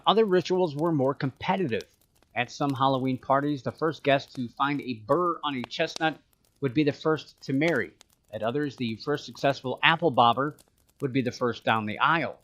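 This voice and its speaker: Mid-pitched man hosting, reading scripted narration. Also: rough recording. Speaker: podcast host.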